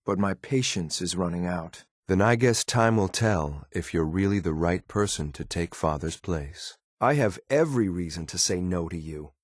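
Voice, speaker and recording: An actor playing a stern, dutiful synth soldier in a video game, speaking in a formal, clipped, restrained military manner. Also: male voice, deep voice, moderate pace, few pauses, no room sound, studio quality. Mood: stern, disappointed. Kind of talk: scripted game companion dialogue.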